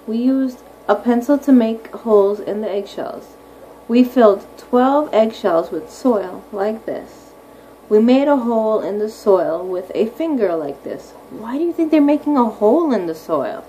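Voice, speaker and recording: A woman's voice: medium pitch, warm tone, poor audio. A woman primary school teacher reading aloud to young children, explaining simply and often asking questions. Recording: clearly audible background noise.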